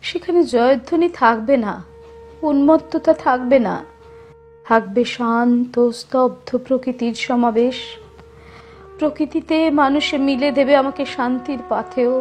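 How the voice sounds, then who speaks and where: A woman's voice: sits high, a little slow, darkish tone. A woman reciting literary prose as a reader. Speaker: reader of a poet's words.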